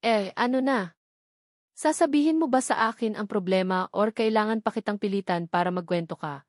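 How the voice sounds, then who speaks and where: A woman's voice: mid-range voice, moderate pace, darkish tone, dry recording, lightly acted. Attentive, generous boss in a romance audiobook, played by a woman, Filipino accent, persistent and kind, dry sound. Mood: coaxing, serious.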